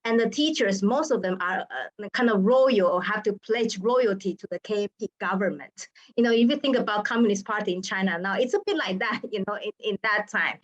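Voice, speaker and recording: Woman, mid-pitched, thoughtful and halting, muffled video-call sound. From Taiwan. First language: Taiwanese Hokkien. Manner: thoughtful, halting, with frequent restarts. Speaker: researcher on a panel. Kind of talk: personal reflection in an online roundtable.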